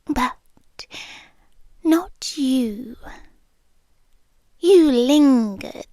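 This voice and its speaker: Casting: woman to play possessive British creature queen for ASMR roleplay; teasing, hushed delivery, extremely slow pace, close dry sound. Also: sits high, animated, no room sound, full character voice. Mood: fond, teasing. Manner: slow, hushed, teasing, often dropping into whispers. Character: possessive immortal monster queen, amused and coaxing, wanting a human husband.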